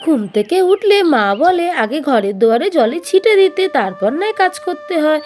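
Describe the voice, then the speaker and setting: A woman's voice: high voice, big pitch swings, normal speed, darkish tone, soft background music. A woman voicing a busy young village housewife in a children's doll cartoon, chattering to herself in a homely way about chores.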